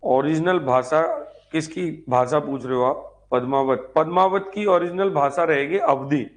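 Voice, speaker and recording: Man teaching, slightly high voice. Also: unhurried. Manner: instructive, repeats key points, checks in with students. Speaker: history teacher for exam preparation. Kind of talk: informal live class lecture.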